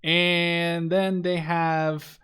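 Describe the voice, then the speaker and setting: A man's voice: a little high, flat delivery, drawn-out words, fairly bright tone, smooth. A man in his twenties from the Netherlands giving casual, rambling, enthusiastic commentary on a video game. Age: 20s. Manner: enthusiastic, informal, swearing. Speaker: gaming video host.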